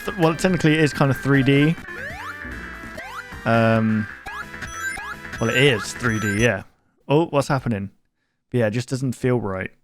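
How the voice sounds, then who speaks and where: A man's voice: mid-range voice, a little slow, pauses often. Rambling man reacting over music. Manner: rambling, jokey, incredulous. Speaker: YouTuber reacting to horror videos. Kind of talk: casual reaction commentary.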